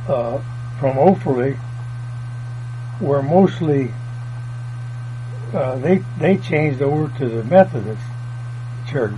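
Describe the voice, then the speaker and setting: An older man, telling family history in a folksy, meandering way. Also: mid-range voice. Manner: folksy, meandering, plain country grammar. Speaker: oral history interviewee. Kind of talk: rambling family reminiscence. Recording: dull lo-fi tape with mains hum.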